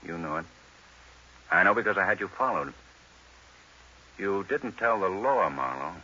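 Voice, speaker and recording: Low, hard-edged, menacing racketeer. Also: male voice, animated, measured pace, pushed and bright, breathy edge, exaggerated voice. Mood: cool, controlled. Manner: clipped, threatening, wry. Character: cool, menacing male casino racketeer. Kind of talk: 1940s radio crime drama acting. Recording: muffled vintage radio with faint hiss.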